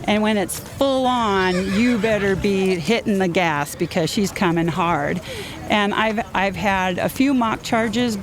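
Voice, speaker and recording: A woman in her fifties, a roundup rider, telling stories in a lively way and laughing easily during a casual outdoor interview. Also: mid-range voice, unhurried. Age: 50s. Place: outdoors at a busy event. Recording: noisy outdoor field recording.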